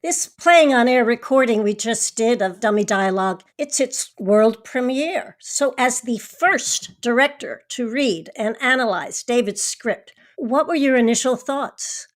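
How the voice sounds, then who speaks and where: A woman's voice: a little high, animated, a little slow, hardly any pauses. A woman presenting and interviewing as the host of a show.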